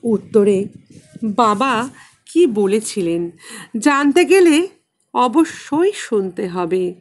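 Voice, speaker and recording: A woman narrating a literary story expressively, in a measured way with dramatic pauses. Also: mid-range voice. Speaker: audiobook narrator and channel host.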